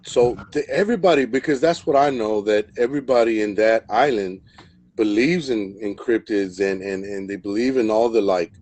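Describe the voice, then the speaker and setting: A man's voice: lowish voice, normal speed, darkish tone. A man hosting a live stream in a casual, rambling way, full of fillers and repeated words. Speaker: host.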